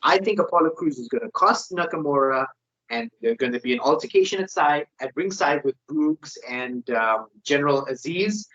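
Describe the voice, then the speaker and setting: A man's voice: a little high, expressive, moderate pace, a bit bright. A man co-hosting a wrestling predictions podcast in casual, opinionated chat. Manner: opinionated, ranting. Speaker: podcast co-host. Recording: dull lo-fi sound.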